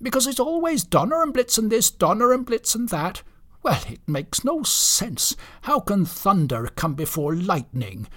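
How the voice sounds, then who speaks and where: Storybook reindeer played by a man: proud, pedantic, argumentative, raised pitch, husky edge. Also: big pitch swings, a little slow, a bit bright, heavily acted. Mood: indignant. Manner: indignant, pedantic.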